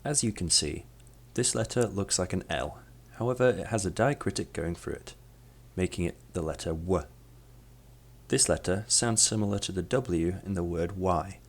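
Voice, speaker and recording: A young man whose first language is Polish, presenting a scripted language lesson in a measured, explanatory way and demonstrating sounds as he goes. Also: low-pitched. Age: young adult. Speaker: student presenter.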